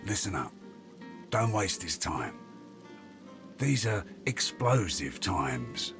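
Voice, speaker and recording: An actor playing a blunt underworld henchman in a fantasy game, gruff and clipped in his way of talking. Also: low-pitched, big pitch swings, takes it slowly, music in the background, heavily acted. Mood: gruff, warning.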